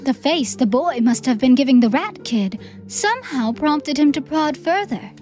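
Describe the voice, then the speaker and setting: A woman's voice: high-pitched, expressive, moderate pace, a little mellow, soft background music. A woman reading scripted fantasy storybook narration as an audiobook narrator.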